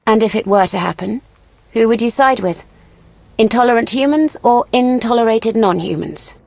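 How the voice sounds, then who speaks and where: An actress playing a proud, serious elven huntress in a video game, speaking formally and gravely. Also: female voice, on the high side, normal speed, a little mellow, dry recording. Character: proud, serious elven woman, a wounded monster hunter.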